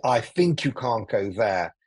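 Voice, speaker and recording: A man in his forties from Greece, talking as a podcast guest in a relaxed, rambling way with many fillers and restarts over a remote call. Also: lowish voice, slow pace, slightly rough, no echo, studio quality. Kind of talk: casual interview talk. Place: cozy room.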